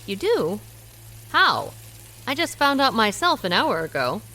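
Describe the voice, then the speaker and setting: A woman's voice: on the high side, very animated, unhurried, pushed and bright, slight background noise, exaggerated voice, poor audio. An actress playing a worried amateur detective who narrates her own story in a radio drama. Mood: anxious. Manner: earnest, wry first-person narration. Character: worried amateur detective woman, diner owner.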